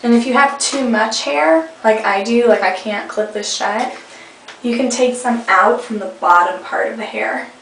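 A young woman with a slightly hoarse voice, chatting casually through a hair tutorial with rambling fillers, recorded on a webcam at home. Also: on the high side, moderate pace, a bit bright, slight background noise. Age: young adult. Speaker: beauty vlogger. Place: indoor room at home. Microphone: webcam at arm's length. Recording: echoey room, faint music behind.

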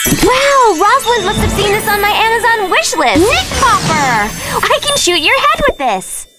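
A woman's voice: extremely high pitch, big pitch swings, moderate pace, over loud music. A woman voicing a perky, earnest teenage cheerleader who hunts zombies, with girlish dramatic delivery in a video game. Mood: curious. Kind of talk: video game cutscene acting.

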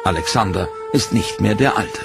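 A man giving scripted documentary narration in a measured, serious storytelling manner. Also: lowish voice, vocal fry. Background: dramatic music.

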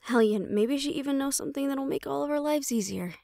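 A woman's voice: sits high, fairly quick, vocal fry, warm tone. A woman playing a defiant, loyal young banshee daughter in an audio roleplay, sarcastic and casual. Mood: reassuring.

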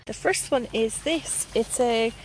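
This is an Australian woman walking through her garden with a phone camera, filming a casual tour. Her voice sits a little high and she talks with eager enthusiasm, dropping in plenty of ums. A faint outdoor hiss runs underneath her. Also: measured pace, rough recording. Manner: chatty and enthusiastic, frequent ums. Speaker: gardening vlogger. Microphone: handheld phone camera.

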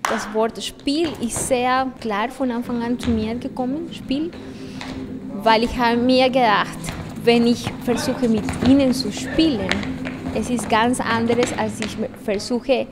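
A woman in her thirties, a choreographer, speaking German as a non-native speaker in a documentary interview, pausing to search for words. She talks into a handheld mic in an indoor hallway. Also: on the high side, steady tone, heavy background noise. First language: Spanish. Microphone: handheld foam-covered mic held close.

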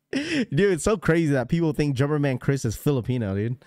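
A young man talks casually into a close studio mic from a small room, joking with his chat and laughing often. His voice sits somewhat high for a man, and it comes through dry with almost no room sound. He rambles and teases freely, sometimes slipping into mimicked voices. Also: expressive, normal speed, darkish tone, no echo. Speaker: sneaker livestream host. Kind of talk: casual live stream chat. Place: small room with blue lighting, indoors. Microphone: studio mic on a boom arm, close.

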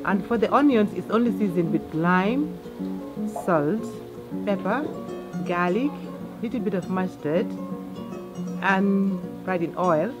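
A woman's voice: mid-range voice, lively intonation, slow pace, pauses often. Casting: middle-aged Gambian woman, cooking host, explaining things plainly, music underneath. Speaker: host, cook and charity founder. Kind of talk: explaining cooking and village life to camera. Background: background music.

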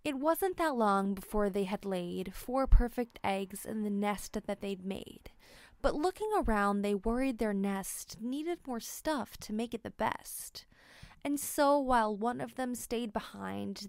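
A woman narrates a children's storybook in a smooth, fairly high voice. She reads at a very unhurried pace, and the recording is dry and close. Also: no echo. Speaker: storybook reader. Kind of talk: scripted children's read-aloud narration.

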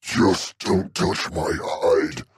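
A man voicing a brutish, hot-tempered dragon warrior for a video game, with a gravelly, raspy growl and a blustering, boastful manner. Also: sits low, very slow, no echo, studio quality, exaggerated voice. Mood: gruff grumbling. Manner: blustering, swinging from growls to bellows. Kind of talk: video game character barks.

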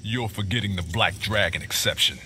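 An actor playing a blunt, principled tough fighter in a fighting game. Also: male voice, deep voice, fairly quick, close to an everyday voice. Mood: stern. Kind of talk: fighting game character dialogue. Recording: clear game background noise.

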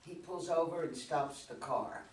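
A man's voice: on the high side, a little slow. An older man reading stage directions as scripted narration at a play reading. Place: meeting room with long table, indoors. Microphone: far camera. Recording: roomy, distant mic, background room noise.

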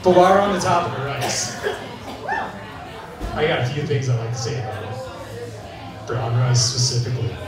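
A young man doing a stand-up set on a bar stage, with a dry, deadpan delivery, filmed on a handheld mic from out in the crowd. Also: medium pitch, moderate pace, long pauses, over music. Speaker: stand-up comedian. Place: bar stage with a live audience. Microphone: handheld mic, filmed from far in the crowd. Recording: echoey bar sound from far off, crowd laughter.

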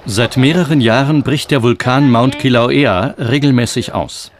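A man reading scripted documentary narration in a measured, explanatory manner. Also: mid-range voice. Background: background music.

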